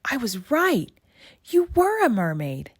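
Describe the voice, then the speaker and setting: A woman reading the part of an earnest, excitable young girl who is a loyal friend, in a storybook. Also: on the high side, slow pace, slightly rough, no echo, clean studio sound, pitched above the performer's own voice, heavily acted. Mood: amazed.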